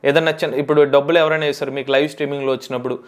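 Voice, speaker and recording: A man hosts a tech tutorial, explaining software step by step and repeating key phrases. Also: mid-range voice, steady tone, rapid-fire.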